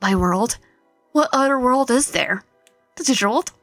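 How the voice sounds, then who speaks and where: A woman's voice: a little high, expressive, moderate pace, a bit bright, no room sound, pitched above the performer's own voice. A woman voicing a bewildered, sceptical dreamer in a visual novel, with an incredulous manner. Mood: confused. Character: bewildered, sceptical dreamer pulled into a fantasy world. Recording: music behind the voice.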